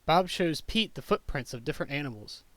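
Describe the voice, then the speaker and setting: A man reading a children's picture book aloud as a teacher would, in simple, clearly phrased storytelling for young children. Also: fairly high pitch, lively intonation, fairly quick, fairly bright tone, no echo.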